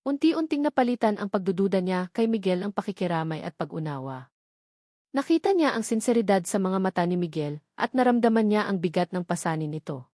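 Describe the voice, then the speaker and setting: A woman's voice: mid-range voice, animated, brisk, dark tone, no room sound, clean studio sound. A woman narrating a scripted, dramatic love story.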